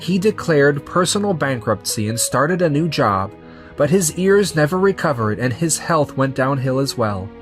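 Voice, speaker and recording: A man's voice: fairly high pitch, big pitch swings, moderate pace, faint music behind. A man narrating a manga story in a scripted, storytelling style.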